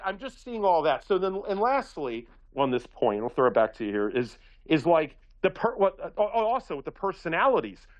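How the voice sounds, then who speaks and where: A man from Philadelphia holds forth on a talk show, telling stories in long, looping sentences full of restarts. His fairly high voice swings widely in pitch as he gets going. The audio is dry and a little dull. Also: no echo. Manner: rambling, animated storytelling with many restarts. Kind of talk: casual talk-radio chat.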